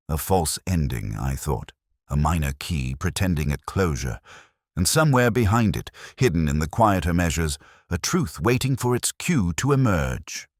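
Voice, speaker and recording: A man acts as a weary older detective narrating an audio mystery drama in an extremely low voice. His delivery is measured and introspective, rising and falling expressively. The sound is close and dry. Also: no room sound. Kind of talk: scripted first-person mystery narration.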